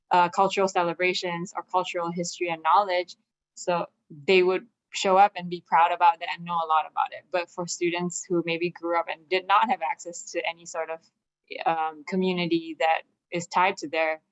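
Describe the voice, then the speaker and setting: Woman, 30s, from Malaysia; earnest, conversational speaker; lowish voice, lo-fi recording. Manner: earnest, with frequent "um" and "right?" check-ins. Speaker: assistant dean speaking at a community event. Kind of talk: conversational talk. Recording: dull lo-fi sound.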